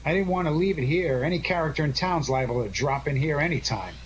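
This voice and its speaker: A man's voice: fairly high pitch, lively intonation, fast, a little hiss or hum, natural voice, poor audio. A man playing a glib, wisecracking amateur sleuth in a 1940s-style radio detective drama, placating the others. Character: wisecracking, fast-thinking male amateur sleuth.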